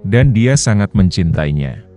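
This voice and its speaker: Male narrator for a bedtime fairy tale: low, mellow voice, steady and calm reading. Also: a little slow, faint music behind. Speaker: storyteller. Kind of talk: scripted bedtime story narration.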